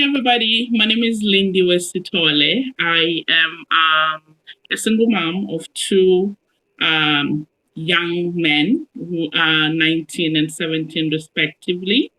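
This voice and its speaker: Bright, cheerful woman from Zimbabwe. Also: deep voice, a little hiss or hum, poor audio. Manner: cheerful, upbeat. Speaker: guest. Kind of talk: self-introduction on a video call show.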